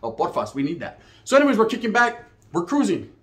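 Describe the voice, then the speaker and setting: A middle-aged man with a Chicano English accent and a slightly high voice spins street stories. He talks casually and profanely, drops in bits of Spanish, and acts out each side of the conversations. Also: wildly swooping pitch, quick pace, fairly bright tone. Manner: slangy, profane, Spanish phrases mixed in, checks in with "right?". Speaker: storyteller. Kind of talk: casual street storytelling, acting out dialogue.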